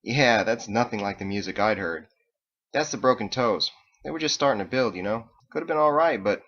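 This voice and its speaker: Guy doing a gruff slangy tough dude in an audiobook, offhand, forceful voice, bit dull sound. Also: fairly low pitch, very animated, brisk. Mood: wistful. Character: small, tough, tattooed, scowling male music fan.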